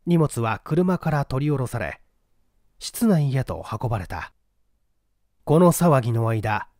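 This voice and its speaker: Lively man reading a mystery novel aloud. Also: medium pitch, no echo, clean studio sound. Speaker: audiobook narrator.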